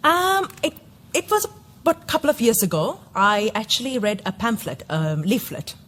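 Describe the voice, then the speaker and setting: A woman's voice: a little high, big pitch swings, moderate pace, fairly bright tone. A woman from Sri Lanka giving a personal testimony in an interview, hesitant, with many fillers and restarts.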